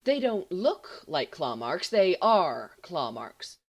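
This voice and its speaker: A woman's voice: on the low side, sing-song, takes it slowly, full character voice. A woman voicing a sceptical school matron in an audiobook, firm and coaxing, trying to sound reassuring. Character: stern but soothing, sceptical school matron. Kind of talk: audiobook character voice.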